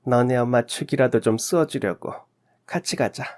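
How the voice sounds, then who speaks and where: A man's voice: medium pitch, normal speed, darkish tone, lightly acted. A man voicing a kindly, fussing middle-aged neighbour woman with a motherly, colloquial manner in a radio story. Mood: caring.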